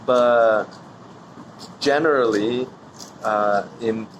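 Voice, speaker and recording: Brazilian man answering on a street, measured and hesitant, mid pitch, traffic audible. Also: muffled recording. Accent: Brazilian Portuguese. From São Paulo, Brazil. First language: Portuguese. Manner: thoughtful, hesitant with frequent ums. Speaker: interviewee. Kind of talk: street interview.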